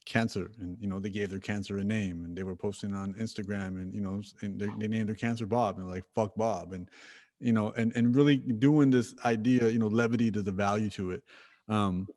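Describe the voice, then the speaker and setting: A low-pitched man hosting an interview podcast, talking in a loose, reflective way. He thinks out loud and keeps filling his sentences with "you know" and "like". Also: steady tone, brisk. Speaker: podcast host. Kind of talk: conversational interview.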